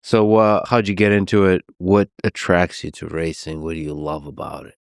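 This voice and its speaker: A male podcast host asks quiet, measured questions. His voice is low and smooth, and it sits close and dry on the mic. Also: level tone, no echo. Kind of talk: interview questions.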